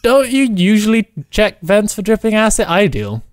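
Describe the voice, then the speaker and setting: A man chatting casually and excitably while playing a co-op game, joking and exclaiming as he goes. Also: high voice, normal speed, clean tone. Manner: jokey, exclaiming. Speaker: gamer playing a co-op roleplay map. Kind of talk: excitable casual gameplay chat.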